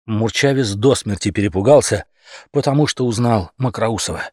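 A man narrating a humorous animal tale as an audiobook, in an expressive, theatrical storytelling manner. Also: lowish voice, moderate pace, breathy edge, no room sound, studio quality.

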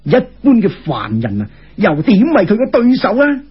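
A man's voice: sits high, wildly swooping pitch, normal speed, breathy edge, exaggerated voice. A man voicing a zealous, impetuous imperial official in a Cantonese folk tale, speaking urgently and insistently on a lo-fi recording. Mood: urgent, scheming.

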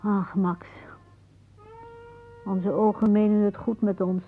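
An actress playing a weary, bitter older aunt in a radio drama, musing theatrically. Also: female voice, mid-range voice, little pitch movement, slow pace, close to an everyday voice. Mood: brooding, sardonic. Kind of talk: radio drama acting, monologue-like. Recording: muffled, narrow-band old radio recording.

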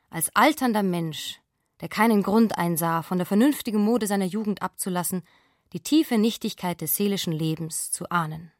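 A woman narrates a literary radio play from a script. Her voice sits at a medium pitch in a dry recording. She reads in a measured, composed manner. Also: no echo.

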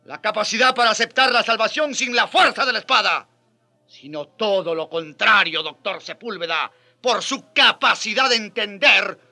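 An actor playing an old, passionate Spanish friar in a radio drama, arguing with indignant, emphatic oratory. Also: male voice, high voice, animated, normal speed, gravelly, sharp tone, full character voice. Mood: impassioned. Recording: music behind the voice.

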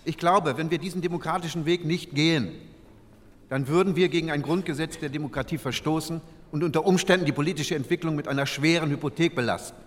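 A man's voice: fairly high pitch, quick pace, close to an everyday voice. A man playing an earnest, principled parliamentary delegate, giving a solemn and formal declamatory speech. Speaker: actor in a documentary radio play. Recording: reverberant large hall.

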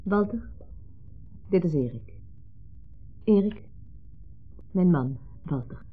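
A composed wife in an old radio drama, answering with restraint and few words. Her voice is husky and mid-pitched. The sound is muffled and narrow, with faint music. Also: lively intonation, slow pace, exaggerated voice. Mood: polite, composed. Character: composed wife caught between husband and ex. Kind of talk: 1970s Dutch radio drama acting.

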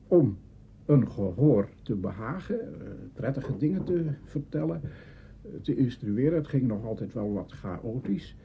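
An older man with a Netherlands Dutch accent, reminiscing in an interview in a deliberate, halting way, searching for words. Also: mid-range voice, slightly rough. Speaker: interviewee recalling early radio drama. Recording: dull, slightly noisy interview recording.

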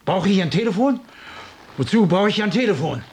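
An actor playing a lonely, bitter tenant in a radio drama, complaining in an agitated, obsessive way. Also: male voice, high voice, sing-song, fairly quick. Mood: brooding, defensive.

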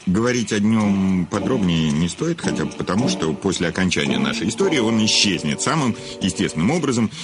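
A man's voice: deep voice, big pitch swings, fairly quick, few pauses, music in the background. A man acting in an audio play as a famous, cynical, hard-drinking aging writer with a hesitant, self-mocking, curt manner. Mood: sardonic, self-mocking.

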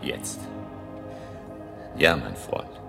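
Deep, gravelly vampire hunter. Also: male voice, animated, over music, exaggerated voice. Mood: grave, determined. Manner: solemn, emphatic, deliberate. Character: grave, commanding male vampire hunter and mentor. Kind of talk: German radio drama acting.

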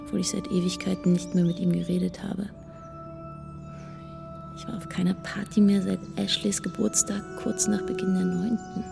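A woman's voice: on the low side, little pitch movement, moderate pace, creaky. An actress playing a shy, lonely, grieving teenage schoolgirl in an introspective, hesitant inner monologue for a radio play. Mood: wistful. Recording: music under the voice.

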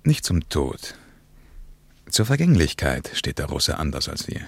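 Philosophical traveller, war veteran, very deep gravelly voice, dry sound. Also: male voice, sing-song, dry recording, full character voice. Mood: reflective. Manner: thoughtful, philosophical, pausing to weigh words. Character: reflective middle-aged German traveller, war veteran.

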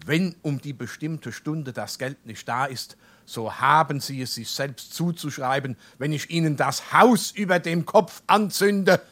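Aufbrausender hessischer Hausvater, eher hohe Männerstimme. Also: male voice, on the high side, measured pace, fairly bright tone. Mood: declaiming. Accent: Darmstadt Hessian. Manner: emphatic, declamatory, scolding. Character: blustering, indignant middle-aged townsman and head of household. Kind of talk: stage dialect comedy acting.

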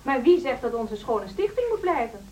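High-pitched, animated, insistent female teacher. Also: a little fast, distant mic, a little hiss or hum. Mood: firm, defiant. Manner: assertive, articulate, argumentative. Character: outspoken, insistent reformist female music teacher. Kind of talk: Dutch radio drama acting.

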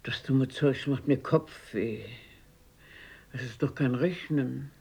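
Husky, feisty old grandmother. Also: very deep voice, takes it slowly, warm tone, heavily acted. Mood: grumbling. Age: older. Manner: grumbling, exclaiming, blunt. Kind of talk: German radio drama acting.

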